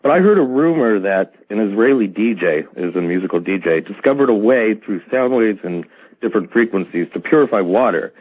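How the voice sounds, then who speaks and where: Husky male caller on a tinny phone line. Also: lowish voice, expressive, a little fast. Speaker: radio caller. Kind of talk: tentative call-in question. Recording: tinny, narrow telephone line.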